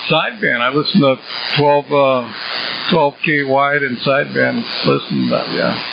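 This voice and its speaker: A man's voice: mid-range voice, big pitch swings, moderate pace, creaky, lo-fi sound. A man chatting casually over a sideband radio transmission. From United States. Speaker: amateur radio operator. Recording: noisy sideband radio with static. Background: radio noise.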